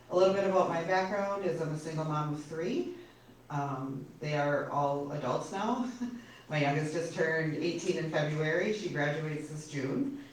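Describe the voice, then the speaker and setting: A woman in her forties introducing herself as a city council candidate at a forum, friendly and a little self-conscious. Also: deep voice. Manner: friendly, slightly self-conscious, with small laughs. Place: public forum room. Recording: echoey hall, distant mic, faint noise.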